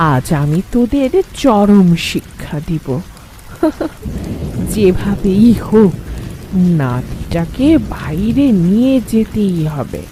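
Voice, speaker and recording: Woman acting sly ghost girl, slow and swooping. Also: dark tone, slight background noise, low-quality recording. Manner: pleading act, sinister low muttering when plotting. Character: sly hungry female ghost posing as a helpless young girl. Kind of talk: Bengali cartoon horror acting.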